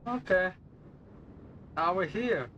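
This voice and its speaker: A man's voice: high voice, expressive, slow, sharp tone, dull audio, a little hiss or hum. A man streaming a game, reacting casually in short, slangy interjections. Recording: game music behind the voice.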